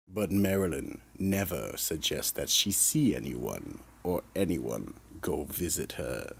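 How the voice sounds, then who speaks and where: A man with a gravelly, husky voice narrating a scripted radio mystery drama. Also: very deep voice.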